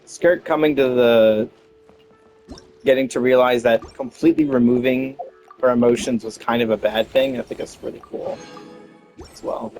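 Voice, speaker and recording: A man's voice: medium pitch, steady tone, normal speed, pauses often, a little mellow, faint music behind. A man giving casual gameplay commentary, rambling and thinking aloud as he goes. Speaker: gamer commenting on own playthrough.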